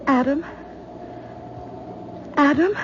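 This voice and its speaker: A scared young wife, urgent and pleading, high voice, dull old radio sound. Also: female voice, drawn-out words, slight background noise. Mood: anxious. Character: anxious young wife of an actor. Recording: dull 1970s radio broadcast.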